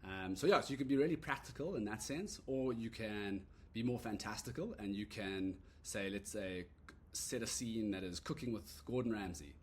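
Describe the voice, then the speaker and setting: A man giving a relaxed, rambling walkthrough of an app he built, full of "uh" and "so yeah" fillers. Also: on the low side, moderate pace, slight background noise. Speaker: app creator demoing his product. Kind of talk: casual screen-recorded product demo. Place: at a computer recording a screen demo.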